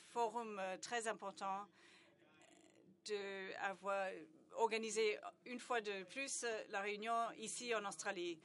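A woman's voice: medium pitch, a bit bright. Woman interpreting a conference speech live, lots of uh and restarts, keeps pushing on. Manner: halting, many hesitations and restarts. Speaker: simultaneous interpreter.

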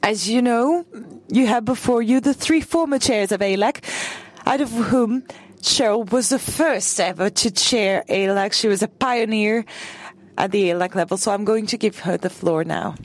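A man's voice: sits high, normal speed. A man chairing a conference session, earnest and encouraging as he introduces speakers. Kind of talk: formal introductions.

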